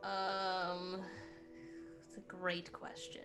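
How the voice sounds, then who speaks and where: A woman's voice: on the low side, monotone, extremely slow. A woman speaking in character as a player in a tabletop roleplaying game. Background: clear background music.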